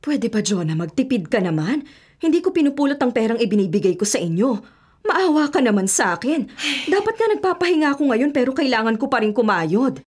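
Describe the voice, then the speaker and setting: A woman's voice: on the high side, big pitch swings, a little fast, darkish tone, slightly rough. A woman acting a hardworking, self-sacrificing middle-aged mother in a radio drama, earnest and principled. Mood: exasperated, pleading. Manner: earnest, principled, pleading when pressed.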